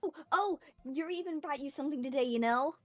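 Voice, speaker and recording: A woman's voice: high voice, fast, fairly bright tone, no room sound, faint music behind. A woman voicing a cheerful, scatterbrained teenage girl with a bubbly, eager way of talking. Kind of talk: fan voice acting of a visual novel.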